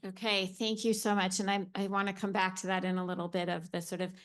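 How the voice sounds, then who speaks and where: A woman's voice: medium pitch, flat delivery, fast, a bit bright. A woman moderating a seminar panel, thanking speakers and inviting them to talk in a friendly way.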